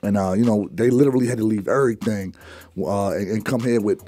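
A man's voice: on the low side, fast, mellow, dry recording. A young man from Afghanistan, a rapper guest, telling stories in loose slangy talk full of fillers, close on a studio mic. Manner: laid-back slangy storytelling, many fillers. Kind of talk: casual interview talk. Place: radio studio with branded backdrop. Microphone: studio mic close up, headphones on.

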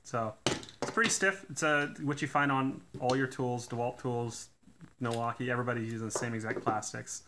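A man doing a casual hands-on teardown at a workbench, talking in a relaxed way with lots of "uh" fillers. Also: medium pitch, moderate pace, noisy background. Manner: relaxed, many "uh" fillers, technical detail. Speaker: DIY tool reviewer. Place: indoors at a workbench.

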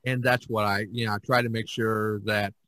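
An older man hosts a casual podcast with a bright, forward voice at a medium pitch. He explains things in a rambling way, and the recording is close and dry. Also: level tone, moderate pace, no room sound. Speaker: podcast host and painter.

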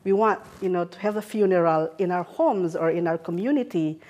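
A middle-aged woman with a Filipino accent speaks in a low, mellow, dark-toned voice. She explains her culture with warmth. The interview is recorded on a lapel mic in a sitting room. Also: fairly quick. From Philippines.

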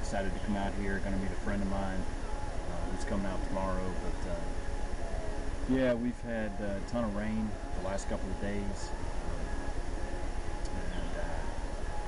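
Man, 40s, casual outdoor vlogger; low-ish, unhurried, filler-heavy; arm's-length camcorder, noisy river ambience. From western North Carolina. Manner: relaxed, rambling, many fillers. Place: forest campsite and riverside woods, outdoors. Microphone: handheld camera at arm's length, walking.

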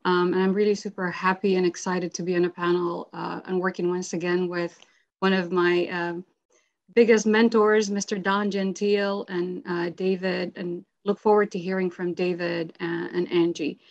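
A woman's voice: fairly low pitch, little pitch movement, moderate pace, no room sound. Earnest woman speaking on a video call. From Sudan. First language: Arabic. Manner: earnest, many fillers. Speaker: panelist. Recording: dry, dull video-call audio.

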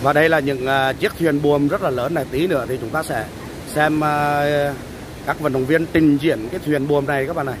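Man commentating over loud beach surf. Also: on the high side, fairly even intonation. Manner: halting, many drawn-out fillers, addresses viewers. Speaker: vlogger filming a beach race. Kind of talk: casual live commentary. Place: outdoors on a windy beach by the surf. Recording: loud surf and wind noise.

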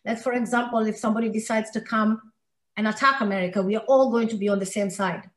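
A woman's voice: on the high side, fairly even intonation, fast, roomy sound. A woman from Somalia who grew up in Kenya, a podcast guest speaking earnestly and emphatically in conversation. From Somalia, raised in Kenya. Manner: earnest and emphatic, repeating words for stress. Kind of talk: heartfelt conversational interview.

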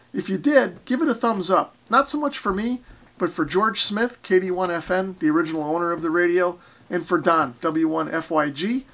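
American man, 40s, casual hobby vlogger, higher-pitched voice with some fry, modest plain explainer. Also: fairly bright tone. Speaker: ham radio vlogger. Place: basement radio workshop indoors.

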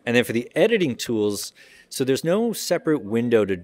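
A man informally demonstrating software in a conversational, explanatory way. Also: medium pitch, big pitch swings, a little fast. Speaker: audio software company representative giving a demo.

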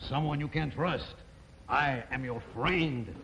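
A man's voice: medium pitch, slow. An actor playing a sly French con man with a French accent, in a 1950s sitcom. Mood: ingratiating. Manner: oily, exaggerated politeness. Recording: dull old TV soundtrack with hiss.

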